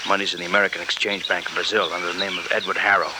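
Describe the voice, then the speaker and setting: An actor playing a slippery, self-justifying male embezzler, smooth-talking and evasive, in a 1940s radio adventure. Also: low voice, little pitch movement, rapid-fire, natural voice. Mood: cornered. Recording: very noisy old 1940s radio recording.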